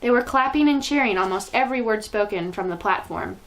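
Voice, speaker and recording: A woman reading a children's book aloud as expressive storytime narration. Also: medium pitch, fairly quick, slight background noise. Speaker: storytime reader.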